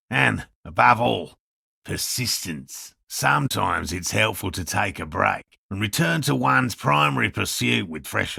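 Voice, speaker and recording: Casting: fussy, proud cryptozoologist for a video game. Lowish, very creaky voice, bookish and formal delivery. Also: male voice, bright, forceful tone, dry recording, studio quality, heavily acted. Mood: measured, explanatory. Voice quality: gravelly, husky. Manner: precise, bookish, formal wording. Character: earnest, fussy cryptozoologist, a proud outsider scientist. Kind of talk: video game dialogue acting.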